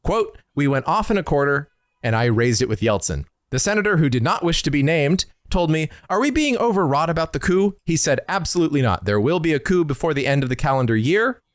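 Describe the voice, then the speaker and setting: A man's voice: on the high side, big pitch swings, a little fast, no room sound, studio quality. A man hosting a podcast, talking casually through political history and reading passages aloud. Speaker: podcast host.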